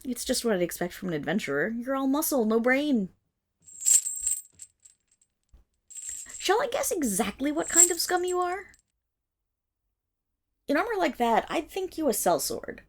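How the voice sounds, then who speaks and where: A woman's voice: sits high, sing-song, normal speed. A woman playing a haughty, indignant young wolf monstergirl of noble birth in a dramatic ASMR roleplay, speaking disdainfully straight to the listener. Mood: scornful.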